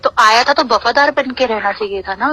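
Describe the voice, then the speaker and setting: A woman talks quickly in a bright, fairly high voice on a recorded phone call. She is scolding and insistent, issuing commands in a private emotional argument. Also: breathy edge, no room sound.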